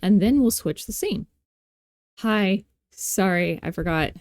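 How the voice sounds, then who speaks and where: A woman hosting a software tutorial, thinking aloud in an informal, playful, self-deprecating way into a close mic. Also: mid-range voice, expressive, slow, no echo. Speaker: tutorial host.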